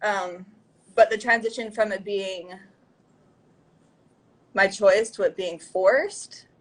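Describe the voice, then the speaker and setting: Rambling young woman guest, mid pitch. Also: steady tone, takes it slowly. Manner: rambling, full of fillers like "like" and "you know". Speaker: guest musician. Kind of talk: casual interview chat.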